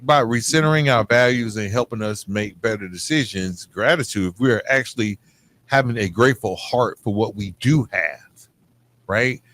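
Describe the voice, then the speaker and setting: A man's voice: lowish voice, moderate pace, fairly bright tone. An American man hosting a podcast, interviewing in a casual, colloquial way. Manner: informal, colloquial. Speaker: podcast host.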